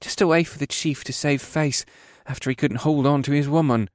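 A man's voice: fairly high pitch, steady tone, fairly quick, dry recording, pitched above the performer's own voice, close to an everyday voice. A cocky elf soldier, acted by a male narrator sneers and grumbles in a raised voice. He is young and crude, a fantasy storybook grunt, recorded close and dry. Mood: scornful.

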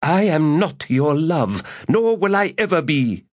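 A man's voice: fairly high pitch, sing-song, measured pace, no room sound, voice pushed up. Brief: man voicing a raised, clipped, severe priestess, dry audiobook sound. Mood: curt. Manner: sharp, curt, exasperated. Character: stern, exasperated female high priestess. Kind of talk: audiobook character voice.

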